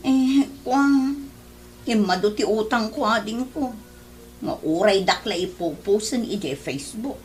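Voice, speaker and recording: A woman with an Ilocano accent playing a vain, spendthrift working wife deep in debt in a comedy radio drama. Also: lively intonation, unhurried, faint music behind, close to an everyday voice. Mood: pleading.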